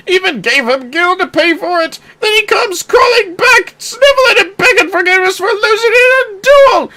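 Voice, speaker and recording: A performer voicing a wronged, heartbroken bald man from a game in a theatrical, shouted rant. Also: extremely high pitch, background noise, pitched above the performer's own voice, heavily acted. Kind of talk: video game dialogue read aloud.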